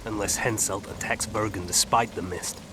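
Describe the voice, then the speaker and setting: Game role: impatient, sardonic assassin, male actor, lowish voice, blunt delivery, noisy ambience behind. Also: steady tone, normal speed, close to an everyday voice. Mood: dry, sardonic. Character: gruff male assassin, bold, impatient for action. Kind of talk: dramatic video game dialogue.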